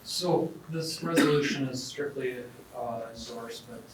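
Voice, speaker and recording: A man serving as county supervisor, explaining a resolution in a halting way with restarts. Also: mid-range voice. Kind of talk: explaining at a public meeting. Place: council chamber. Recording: distant mic in an echoey room with hum.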